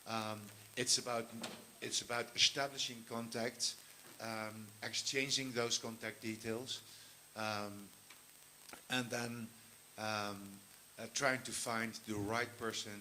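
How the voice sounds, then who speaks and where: A man, a film commissioner, speaking on a panel in a halting way with many pauses and restarts. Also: on the low side, sharp tone, slight background noise. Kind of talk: panel talk.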